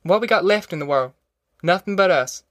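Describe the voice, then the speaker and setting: A man acts out a middle-aged country mother who will not budge. The voice sits high, with a rural Southern American accent and folksy, threatening dialect. It is audiobook character work, recorded dry and close. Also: animated, normal speed, fairly bright tone, dry recording. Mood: fierce pleading. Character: fierce, stubborn middle-aged rural mother, defiant matriarch.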